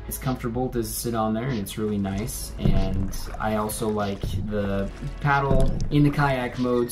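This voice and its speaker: A man in his thirties talks through a gear review in a measured, explanatory way, his voice sitting a little low. He is recorded indoors at desk distance, with soft music faint beneath him. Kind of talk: product review talk to camera. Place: indoor room with curtains and a plant.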